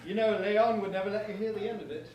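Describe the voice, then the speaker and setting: A man playing a pompous, indignant but soft-hearted young prince in a staged verse comedy, speaking theatrically. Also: high voice, steady tone, quick pace, muffled recording, close to an everyday voice. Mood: moved, teasing. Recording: very echoey room, distant mic, noise.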